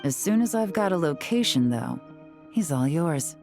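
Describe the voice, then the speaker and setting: A woman's voice: low-pitched, animated, normal speed, darkish tone, faint music behind. A woman playing a cool, secretive investigator in a video game, self-assured and direct. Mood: confident. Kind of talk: video game character acting.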